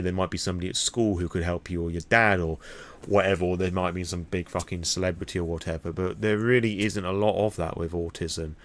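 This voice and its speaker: A British man rambling candidly and off the cuff in a vlog, opinionated and swearing freely. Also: low-pitched. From Britain. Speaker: vlogger on tabletop roleplaying lore.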